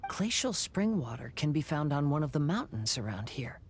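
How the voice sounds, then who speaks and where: A woman playing a calm, curious and observant young traveler in a video game, musing as she speaks. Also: deep voice, moderate pace, slight background noise.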